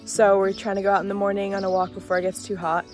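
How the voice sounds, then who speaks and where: A woman's voice: medium pitch, level tone, fast talker, darkish tone, soft background music. A young woman from Bulgaria vlogging casually and with enthusiasm, talking into a phone outdoors on a village road. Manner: chatty, enthusiastic, laughs often. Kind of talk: casual travel vlog. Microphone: phone held by hand, then camera.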